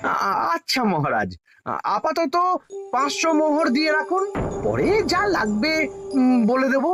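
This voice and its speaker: A crafty cartoon court minister whose lively voice rises and falls as he flatters and schemes. Light music hums behind him. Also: very high voice, unhurried, exaggerated voice. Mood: scheming. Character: scheming male royal minister, wheedling. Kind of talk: cartoon voice acting.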